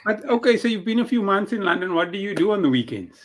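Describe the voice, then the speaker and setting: Young man, podcast host, upbeat intro, relaxed questions. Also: high voice, quick pace, background noise. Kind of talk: interview podcast hosting.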